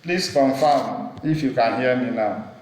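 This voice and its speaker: Man, instructor, video call mic, unhurried with repeats, echoey room. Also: medium pitch, a little mellow. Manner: slow, repeating himself, pausing to check the chat. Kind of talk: live online class teaching. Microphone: computer mic on a video call.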